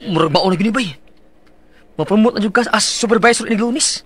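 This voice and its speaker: An actor with a Cebuano accent playing an excitable, encouraging male coworker in a radio drama. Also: high voice, fairly quick. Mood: excited. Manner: animated and encouraging.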